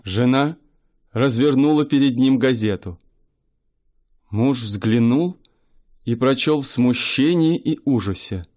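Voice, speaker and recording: A man narrating a humorous literary radio play in a measured storytelling manner. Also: medium pitch, darkish tone.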